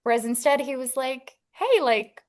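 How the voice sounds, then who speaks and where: Woman chatting warmly. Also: sits high, animated, moderate pace. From Italy. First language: Italian. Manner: open, chatty, laughs often. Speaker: featured guest educator. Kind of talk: casual chat on a video call.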